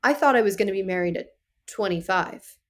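A woman's voice: medium pitch, lively intonation, a little fast, fairly bright tone. A woman in her thirties speaking frankly in a casual talk, direct and reassuring. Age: 30s. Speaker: podcast guest. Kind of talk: casual conversation.

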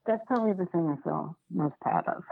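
A woman filmmaker answering interview questions in a halting, reflective way, often circling back to rephrase, over a phone-like line. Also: fairly low pitch, vocal fry, husky, dry recording. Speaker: documentary filmmaker being interviewed.

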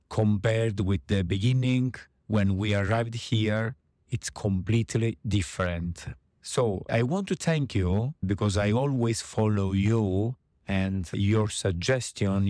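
A man from Italy with an Italian accent, a non-native speaker leaving a hesitant voice message full of pauses. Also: fairly low pitch, a little mellow, no room sound, studio quality. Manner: hesitant, many pauses and fillers, searching for words. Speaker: listener sending a voice message. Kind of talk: recorded voice message.

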